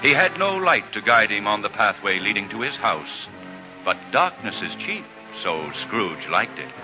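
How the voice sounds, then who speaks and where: A man narrating a scripted story in a measured 1940s radio style. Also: fairly low pitch, sing-song. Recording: muffled old radio broadcast, loud music.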